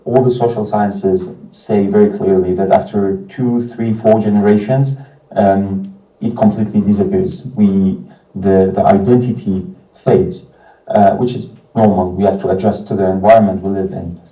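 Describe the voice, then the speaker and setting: A man, giving an informal prepared talk in a halting, hesitant way with frequent pauses. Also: deep voice, fairly even intonation, mellow, faint music behind. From France. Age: 30s. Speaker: non-profit organization founder and representative. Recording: muffled, distant, echoey video playback.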